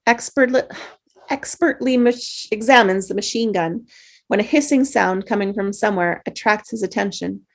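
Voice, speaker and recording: Woman reading out movie script directions, middle-pitched, trips on words and repeats them. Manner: stumbles and rereads phrases. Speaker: narrator.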